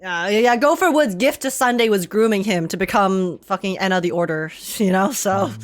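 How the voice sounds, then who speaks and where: A woman's voice: medium pitch, moderate pace, faint music behind. A woman streamer giving live game reaction commentary in a playful, exclamatory, theatrical manner.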